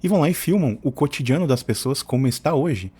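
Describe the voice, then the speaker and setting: A man's voice: mid-range voice, lively intonation, quick pace, mellow, slightly rough. A man giving informal, opinionated political commentary as a channel host, often digressing in colloquial speech. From southern Brazil.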